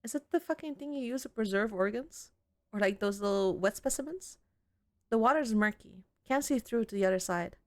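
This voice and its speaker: Animated high-pitched woman gaming out loud. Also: moderate pace, dry recording. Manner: expressive, sweary, reacting out loud. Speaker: video game streamer. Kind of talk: horror game playthrough commentary, reading game text aloud.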